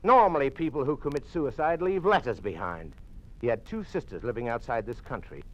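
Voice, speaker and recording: A man's voice: medium pitch, expressive, a little fast, highs cut off, close to an everyday voice. An American man playing a middle-aged doctor in an old radio drama, defiant and accusatory in an emphatic delivery. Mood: defiant accusing. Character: indignant male doctor defiantly challenging communist officials. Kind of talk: 1950s radio drama acting.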